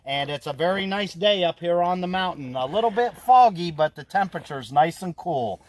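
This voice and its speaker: A man's voice: high voice, animated, normal speed. An American man in his fifties chatting casually as an amateur radio operator, with a friendly, folksy, talkative manner. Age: 50s. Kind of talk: casual ham radio conversation. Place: outdoors on a mountain trail.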